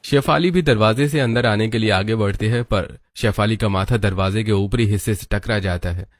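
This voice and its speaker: A man's voice: on the low side, moderate pace, no echo, studio quality, soft background music. A man narrating a scripted family cartoon story.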